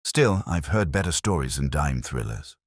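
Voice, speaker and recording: A man's voice: very deep voice, very animated, normal speed, breathy edge, dry recording, studio quality, exaggerated voice. A man voicing a wisecracking, skeptical detective's assistant in a hard-boiled audiobook. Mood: sardonic.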